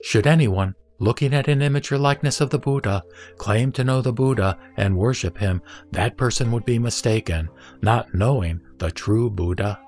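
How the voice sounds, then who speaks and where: Male actor as serene spiritual master, mid-pitched, solemn and didactic, instructing a disciple over background music. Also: a little mellow, close to an everyday voice. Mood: gently correcting. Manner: measured, solemn, didactic delivery. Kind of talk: audio drama scripture reading.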